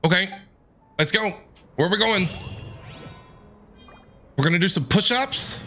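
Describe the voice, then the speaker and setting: Male game streamer, high bright voice, casual and excitable, reacting to play, light music behind. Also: unhurried. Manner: excitable, repeats phrases. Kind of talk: casual reactive gameplay commentary.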